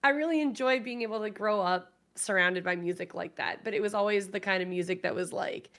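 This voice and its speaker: A woman's voice: medium pitch, brisk, a bit bright. A woman chatting casually as a talk show guest, enthusiastic and informal, swearing freely. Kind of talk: casual chat.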